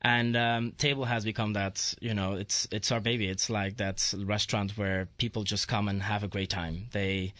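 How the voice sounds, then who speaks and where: Belgian-accented man, low dry voice. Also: little pitch movement, normal speed, few pauses, fairly bright tone, no echo. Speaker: restaurateur, interview guest. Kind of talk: casual radio interview chat. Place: radio studio.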